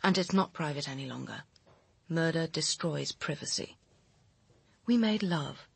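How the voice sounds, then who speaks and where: An actress playing a cool, manipulative woman who insistently coaches her lover in a radio drama, with a husky, controlled voice. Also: low voice, animated, measured pace, no echo, exaggerated voice. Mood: firm, insistent.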